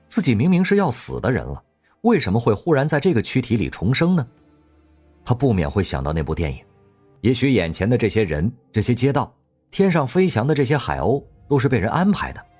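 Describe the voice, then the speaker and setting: Husky, lively male drama narrator. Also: on the low side. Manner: storytelling, wry and expressive. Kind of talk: scripted audio drama narration. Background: faint background music.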